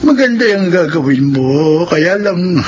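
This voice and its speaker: A man's voice: a little high, lively intonation, measured pace, a little mellow. A man playing a kindly, trusting old grandfather in a radio drama. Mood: kindly, concerned. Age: older. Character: kindly, trusting old grandfather who gives second chances. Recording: dull, noisy radio drama audio.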